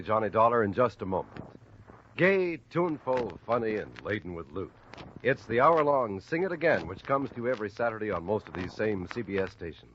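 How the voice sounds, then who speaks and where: A man's voice: lowish voice, fairly bright tone. An American man announcing on 1950s network radio, with a polished, showy promotional delivery. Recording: muffled old radio recording, faint noise.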